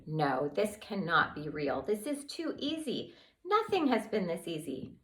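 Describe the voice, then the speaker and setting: Casting: woman voicing a blunt, impatient boy, sarcastic, lively pitch. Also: unhurried, roomy sound, slight background noise.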